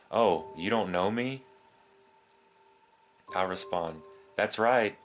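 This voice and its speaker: Male horror narrator, notably deep, measured scripted storytelling over a prominent musical bed. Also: a bit bright. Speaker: narrator of creepy stories. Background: guitar music.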